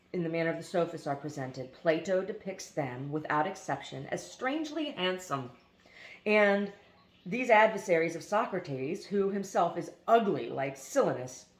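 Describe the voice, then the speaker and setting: A woman reading a philosophy essay aloud in a deliberate, emphatic way, now and then stumbling on foreign names. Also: low voice. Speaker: reader. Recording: echoey room.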